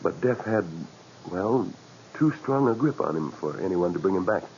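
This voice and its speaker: Respectful surgical assistant, deep voice, grave delivery, vintage radio drama, dull noisy recording. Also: male voice, expressive, normal speed, darkish tone. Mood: consoling. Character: grave, respectful male assistant doctor, supportive. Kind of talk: 1940s radio acting.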